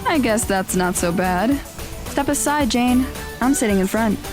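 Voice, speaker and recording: Cheeky high-voiced teen girl played by a woman. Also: lively intonation, a little slow. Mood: stubborn, sarcastic. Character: cheeky, sarcastic teenage girl, playful and stubborn. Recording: music bed under the voice.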